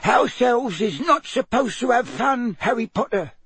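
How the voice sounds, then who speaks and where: A man voicing a tiny, timid female house elf servant in an audiobook, squeaky and quivering, deferential and anxious, with broken grammar. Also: animated, fairly bright tone, breathy edge, dry recording, heavily acted. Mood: firm, frightened. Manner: subservient, broken grammar, drawn-out phrasing.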